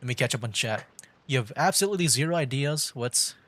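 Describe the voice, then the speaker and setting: A man's voice: mid-range voice, lively intonation, quick pace, sharp tone. A young man livestreaming a game, giving casual live reactions as he plays. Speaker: livestreamer, VTuber gamer.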